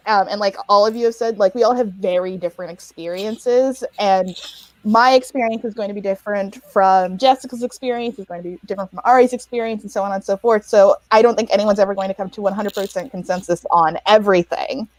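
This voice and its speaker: Woman panelist, chatty and quick to laugh, lots of fillers; dry, close recording. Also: mid-range voice, darkish tone, no room sound, low-quality recording. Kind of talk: casual panel chat.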